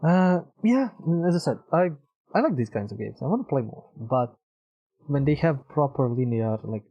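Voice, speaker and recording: A man's voice: mid-range voice, animated, moderate pace, mellow, dry recording, dull audio. A man giving casual live video game commentary as a streamer, thinking aloud, muttering to himself and swearing freely. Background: music.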